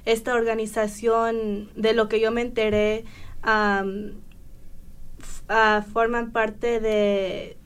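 A young woman university student from Indiana answering in a casual interview, hesitant with many pauses. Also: fairly high pitch, flat delivery, clear, resonant tone, poor audio. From Indiana, USA. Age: young adult. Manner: hesitant, many fillers and restarts.

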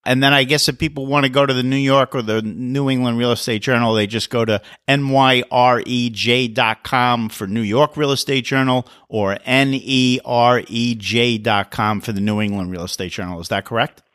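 Enthusiastic guy hosting a podcast, mid pitched voice, dry close sound. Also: fairly even intonation, moderate pace, hardly any pauses, a bit bright, clean tone, no echo. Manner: upbeat, enthusiastic. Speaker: podcast host. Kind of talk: casual interview podcast.

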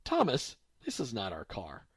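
A man voicing a calm, sensible mother relaying a sad story. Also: medium pitch, moderate pace, husky, dry recording, clean studio sound, voice pushed down. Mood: plain. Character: sensible middle-aged mother, gentle.

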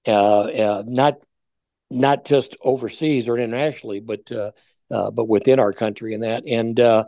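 Mellow, halting older American man. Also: medium pitch, steady tone, dry recording. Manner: hesitant, halting delivery with many stumbles. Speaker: talk show guest commentator. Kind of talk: conversational political commentary.

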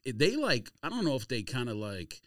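A man's voice: mid-range voice, huge pitch swings, moderate pace, fairly bright tone, dry recording, studio quality. A man hosting a podcast, chatting casually about sports and sneakers in an emphatic, opinionated, slangy way. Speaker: podcast host.

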